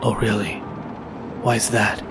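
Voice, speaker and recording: A man playing a brooding, grim masked vigilante in an audio drama, speaking and narrating in a terse, deliberate way. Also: lowish voice, flat delivery, gravelly, background noise. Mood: accusing. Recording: loud music behind the voice.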